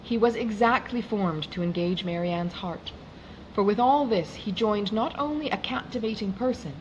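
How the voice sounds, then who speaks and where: A woman reading a classic novel aloud as an audiobook, with formal, expressive phrasing. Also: mid-range voice, normal speed, slight background noise, low-quality recording. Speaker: audiobook reader.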